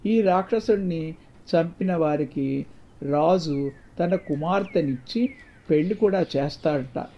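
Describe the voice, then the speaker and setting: Mellow-voiced frightened village man, read by a male narrator. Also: on the high side, unhurried. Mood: fearful, explaining. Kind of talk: storybook dialogue. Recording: dull, muffled lo-fi sound.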